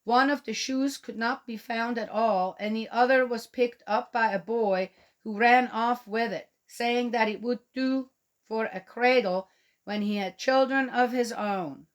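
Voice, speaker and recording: A woman from Switzerland chatting gently to young children and reading them a picture book aloud. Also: a little high, steady tone, measured pace. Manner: gentle, chatty, talks directly to young listeners. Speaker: children's storyteller.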